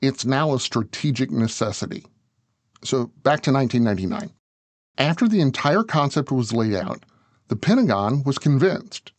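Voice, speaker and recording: A man's voice: mid-range voice, sing-song, moderate pace, no echo. A man narrating a scripted business documentary, talking straight to the listener in a conversational way. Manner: conversational, addresses the listener directly. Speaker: show narrator.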